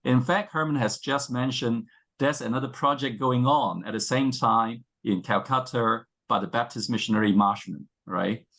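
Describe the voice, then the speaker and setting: A man's voice: mid-range voice, fairly bright tone. A man with a Cantonese accent, a native Cantonese speaker, gives an academic presentation as a panel scholar, often hesitating and rephrasing as he goes. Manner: halting, with frequent hesitations and self-rephrasing.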